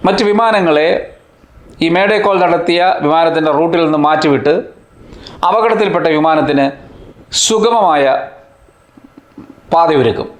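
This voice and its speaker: Higher-voiced man explaining, echoey room. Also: normal speed, pauses often. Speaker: presenter of an educational video channel. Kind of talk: explanatory narration. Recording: roomy, echoing voice-over.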